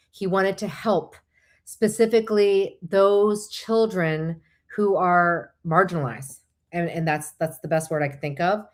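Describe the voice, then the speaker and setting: A woman whose first language is Korean, hosting a casual, chatty livestream, often digressing and thinking aloud as she replies to viewers. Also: on the low side. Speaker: host of a live online show; licensed therapist.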